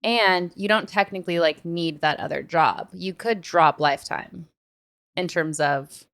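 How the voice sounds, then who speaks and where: A woman's voice: on the low side, measured pace, fairly bright tone. A woman podcast host in a casual, earnest chat, offering advice informally.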